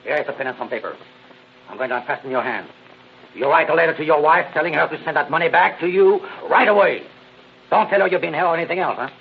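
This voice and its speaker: An actor playing a gangster's calmer, calculating henchman, measured and firm, in an old radio drama. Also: on the high side, creaky. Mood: firm, menacing. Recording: muffled old radio recording with hiss.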